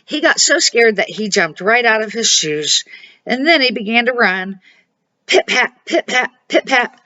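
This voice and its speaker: Older woman reading a storybook, bright-toned. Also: on the high side, expressive. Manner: homespun amateur read-aloud, dramatic pauses. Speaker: storybook reader and series host. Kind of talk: storybook narration for children. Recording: dull, muffled home recording.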